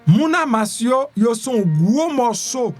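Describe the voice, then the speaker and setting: A man's voice: very high voice, big pitch swings, slow, soft background music. A man with a Haitian accent giving informal news commentary, conversational, repeating phrases and putting questions to the listener. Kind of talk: informal news commentary in Creole with some French and English.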